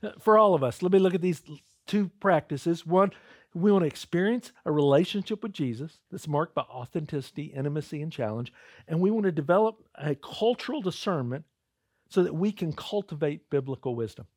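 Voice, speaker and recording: Male pastor, fairly high voice, casual preaching style, dry close mic. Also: lively intonation, brisk, darkish tone, no echo. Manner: conversational preaching, addresses crowd as "guys", repeats words when starting. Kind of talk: sermon.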